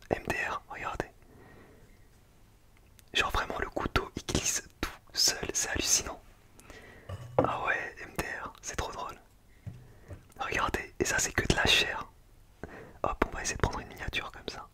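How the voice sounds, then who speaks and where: A man speaking in a breathy, unvoiced whisper, chatting casually and in slang in an ASMR tasting style. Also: measured pace, pauses often. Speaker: ASMR food-tasting video creator.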